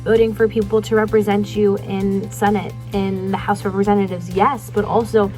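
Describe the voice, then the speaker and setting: A woman's voice: medium pitch, flat delivery, moderate pace, darkish tone. An eighteen-year-old woman from Virginia, a student giving earnest, articulate interview answers. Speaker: college student voter. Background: faint background music.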